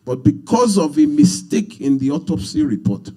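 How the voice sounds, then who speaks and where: A man's voice: on the high side, big pitch swings, normal speed, warm tone. A Nigerian-accented man speaking unscripted to an audience as a seminar leader, earnest and emphatic, often repeating his phrases. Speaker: speaker leading a marriage seminar. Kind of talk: unscripted public talk to an audience.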